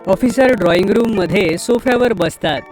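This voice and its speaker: A woman's voice: medium pitch, moderate pace. A woman reading a scripted children's story as its narrator. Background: music.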